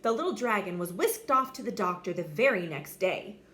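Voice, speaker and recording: A woman's voice: medium pitch, animated, normal speed, a bit bright. A woman reading a children's story aloud with dramatic, theatrical inflection. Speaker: storybook reader. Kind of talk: expressive scripted story narration for children.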